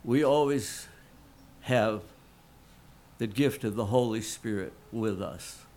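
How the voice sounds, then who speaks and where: A middle-aged man, a lay pastor, preaching a sermon in an earnest, folksy way, with a frog in his throat that makes him clear it now and then. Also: lowish voice, slow pace, a little mellow.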